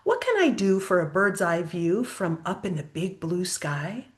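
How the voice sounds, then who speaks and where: A woman's voice: lowish voice, sing-song, measured pace. A woman voicing a curious, wondering male armadillo traveler in a storybook, earnest and full of questions.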